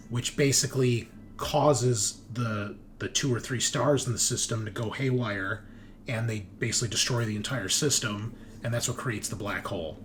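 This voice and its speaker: Male game master, noisy recording. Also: medium pitch. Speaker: game master of a tabletop roleplaying show. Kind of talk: casual explanatory post-game recap.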